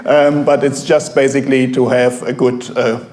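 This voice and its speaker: A German-accented professor giving a halting, informal talk to a café audience, full of fillers. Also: male voice, medium pitch, flat delivery, darkish tone, echoey room. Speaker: guest speaker, professor.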